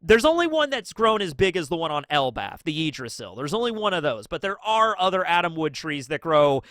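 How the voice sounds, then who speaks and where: High, bright-voiced man with a scratchy cold. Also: fairly quick, no room sound, studio quality. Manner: chatty, excitable, asks rhetorical questions. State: scratchy throat from a cold, sniffly. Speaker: channel host. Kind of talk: casual commentary video.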